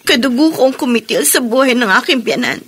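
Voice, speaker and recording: An actress playing a grieving, guilt-ridden young mother in a radio drama, speaking quietly and resigned. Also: on the high side, animated, a little fast, husky. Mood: sorrowful.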